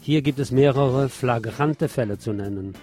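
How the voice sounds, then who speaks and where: A man's voice: mid-range voice, normal speed, dark tone. Male news presenter, mid-range voice, scripted reporting with short asides, faint music behind.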